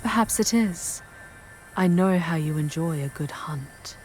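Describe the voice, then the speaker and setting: A woman playing a charming, proud yet tender female demon guide in an ASMR fantasy roleplay, measured and intimate, often dropping to breathy whispers. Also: on the low side, over music, natural voice, rough recording. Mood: defiant, teasing.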